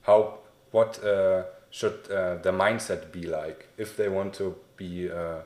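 A man's voice: sits low, steady tone, slow, a little mellow. A young man with a German accent interviewing a guest in English, friendly and hesitant with many fillers. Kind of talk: casual interview. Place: small indoor room, sitting on a couch. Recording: echoey room.

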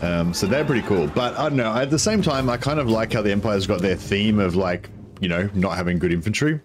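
A man, a croaky voice and a headset, chatting casually while streaming a strategy game, rambling with many ums. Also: fairly low pitch, fairly quick, slight background noise. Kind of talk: casual gameplay commentary.

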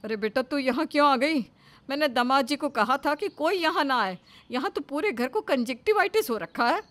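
A woman's voice: high-pitched, expressive, moderate pace, fairly bright tone. Woman acting a fretful mother. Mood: surprised, worried. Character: surprised, worried mother of a grown daughter.

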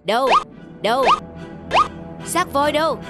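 A man's voice: extremely high pitch, animated, slow, loud music behind the voice, exaggerated voice. A man voicing a suspicious, gullible cartoon tiger. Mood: impatient. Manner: blustering, suspicious. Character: menacing, gullible big male tiger, easily enraged. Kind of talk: cartoon voice acting.

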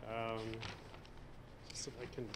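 A man's voice: mid-range voice, flat delivery, slow pace. A middle-aged man from Canada giving a conference speech at a podium, hesitant, with many fillers and restarts. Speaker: convention speaker. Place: hotel conference room. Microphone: podium microphone. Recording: reverberant hall, faint room noise.

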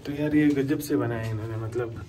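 A man's voice: medium pitch, steady tone, fairly quick, dark tone, slight background noise. A man in his thirties chatting casually to a phone camera held at arm's length, explaining the sights around him with plenty of fillers. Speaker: travel vlogger. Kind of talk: casual travel vlog narration. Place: outdoors by a lake, then an underground cave museum.

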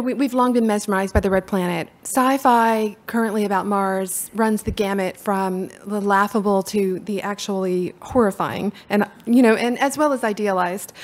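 A middle-aged woman from Appalachia with a soft-spoken Appalachian accent, giving a conference talk from a podium in a hall. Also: medium pitch. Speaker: conference speaker. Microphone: podium mic from far camera.

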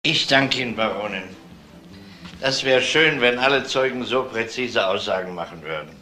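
An actor playing a dry, polite, methodical police detective questioning people in a German crime radio drama. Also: male voice, mid-range voice, moderate pace, sharp tone, a little hiss or hum, close to an everyday voice. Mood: businesslike.

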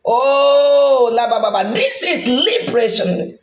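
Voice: high-pitched, animated, slow. A preacher delivering a fervent, declamatory charismatic prayer sermon, repeating phrases and breaking into speaking in tongues. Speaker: preacher leading an online prayer broadcast. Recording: echoey room sound.

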